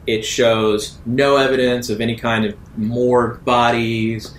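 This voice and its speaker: A man improvises narration as the game master of a tabletop roleplaying session. He has a mid-pitched voice. The recording is echoey, with faint noise and rumble behind him. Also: level tone, takes it slowly.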